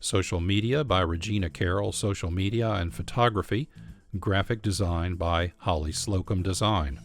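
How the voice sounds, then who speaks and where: An American man hosting a radio program, reading scripted narration in a measured, clearly phrased manner. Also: deep voice. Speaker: radio host and producer. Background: music.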